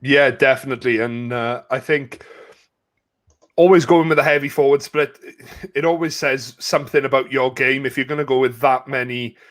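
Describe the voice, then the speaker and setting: A man's voice: mid-range voice, steady tone, moderate pace, fairly bright tone. Chatty man hosting casual sports talk. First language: not French. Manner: chatty, matey address, asks questions. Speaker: host of a live rugby watch-along. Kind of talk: casual sports chat with a guest.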